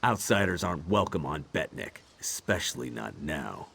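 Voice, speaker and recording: Gruff, deep-voiced orc guard. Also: male voice, sing-song, moderate pace, a bit bright, no room sound, exaggerated voice. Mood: stern warning. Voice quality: husky, rough low voice. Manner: blunt, menacing, terse. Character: gruff, hostile male orc guard wary of outsiders. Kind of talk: fantasy video game dialogue.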